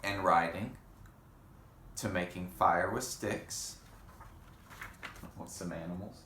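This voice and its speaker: A man reading a children's picture book aloud in an unhurried way, pausing for casual comments and questions. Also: deep voice, bright, forceful tone. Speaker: storybook reader. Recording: roomy echo with faint background noise.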